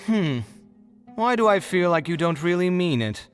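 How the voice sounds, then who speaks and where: A man's voice: high-pitched, animated, normal speed, soft background music. An actor playing a proud, easily riled young male architect in a video game, theatrical and exasperated.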